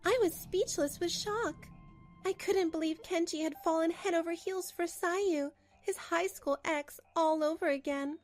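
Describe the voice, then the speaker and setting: Wronged wife, firm and pragmatic, played by a woman with a high voice, expressive manga-dub narration over faint music. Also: a little slow. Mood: hurt, weary. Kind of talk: dramatic first-person narration for a manga dub.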